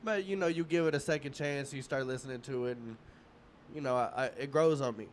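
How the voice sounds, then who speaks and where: A man in his thirties hosts a casual interview. His voice sits at a medium pitch, and he talks in a relaxed, rambling way. Faint background hiss is audible. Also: fairly quick. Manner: relaxed, rambling, many restarts and fillers. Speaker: podcast host.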